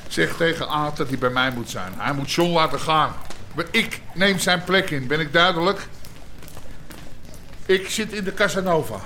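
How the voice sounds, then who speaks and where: A man's voice: fairly high pitch, moderate pace, pushed and bright, slight background noise. An actor playing a middle-aged old-school underworld boss and worried father in an audio drama, blunt and insistent. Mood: grim, commanding.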